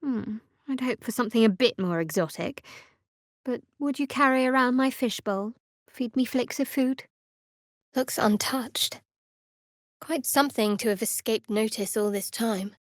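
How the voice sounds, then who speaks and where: A woman playing a guarded, sardonic cleric of a dark goddess in a video game, with a dry, wry delivery. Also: on the high side, a little slow, no room sound, lightly acted. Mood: wary, observant. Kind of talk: video game character voice lines.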